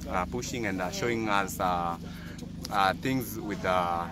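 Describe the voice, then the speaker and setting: A man's voice: deep voice, measured pace, very noisy. A young man from western Kenya, chatting earnestly outdoors with many hesitations, recorded on a handheld phone. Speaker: guest YouTuber. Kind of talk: casual chat. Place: outdoors on a grassy compound. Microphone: phone at arm's length.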